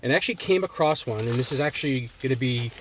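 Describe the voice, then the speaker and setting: Casual man with a Boston accent, mid-pitched voice, talking from a parked car in a loose, rambling vlog style. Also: expressive, fast talker, a bit bright, a little hiss or hum. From Massachusetts. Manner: rambling, loose, with many fillers and restarts. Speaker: jerky reviewer.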